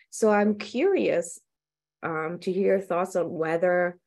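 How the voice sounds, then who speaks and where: Lower-voiced woman moderating over a call. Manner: animated, gesturing hands, rambling questions. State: losing her voice. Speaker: panel moderator. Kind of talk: moderating a panel discussion. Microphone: video call webcam.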